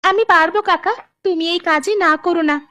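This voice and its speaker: A woman as an earnest, very high-voiced village daughter. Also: fairly even intonation, a little fast, bright, forceful tone, no room sound. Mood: determined. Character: dutiful young village daughter, earnest and determined. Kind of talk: animated story voice acting.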